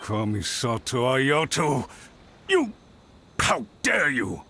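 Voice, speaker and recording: A smug, blustering clan official from an anime game. His mid-pitched voice swings up and down emphatically, with faint noise behind it. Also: huge pitch swings, slow, gravelly, bright, forceful tone, full character voice. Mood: outraged, alarmed. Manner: emphatic, gloating.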